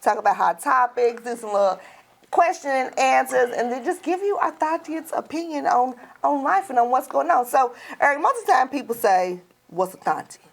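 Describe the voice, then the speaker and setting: A woman's voice: sits high, animated, moderate pace, vocal fry. A middle-aged American woman hosting a talk show in a casual, playful, slangy way.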